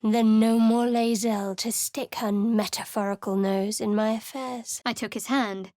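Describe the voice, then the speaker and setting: A woman plays a guarded young cleric who keeps her secrets close, her game voice cool and wry. The voice sits a touch high, and the studio sound is dead dry with no room around it. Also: fairly even intonation, a little slow, mellow, no room sound, lightly acted. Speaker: companion character in a video game.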